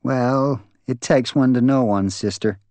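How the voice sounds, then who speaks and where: A spiteful older woman, as a male narrator plays her in an audiobook. The voice is pitched well up, blunt and scornful, with a sullen, defiant edge. The recording is dry and close. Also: measured pace, a little mellow, no room sound, natural voice. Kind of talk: dramatised audiobook dialogue.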